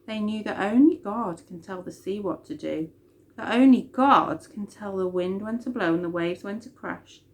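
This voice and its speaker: A woman's voice: medium pitch, moderate pace. A woman reading a children's story expressively, with dramatic emphasis on key words.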